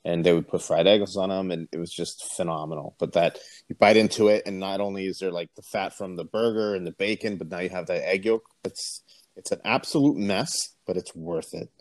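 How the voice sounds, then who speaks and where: A man from Puerto Rico co-hosting a podcast, telling stories in a relaxed, easygoing way with plenty of ums. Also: deep voice, fairly quick, dry recording. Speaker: podcast co-host. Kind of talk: casual chat.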